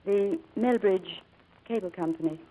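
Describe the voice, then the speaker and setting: A woman playing a devoted, quietly sorrowful secretary secretly married to her boss, in 1940s radio drama style. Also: medium pitch, a little slow, close to an everyday voice. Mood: polite, restrained. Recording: muffled old radio broadcast recording.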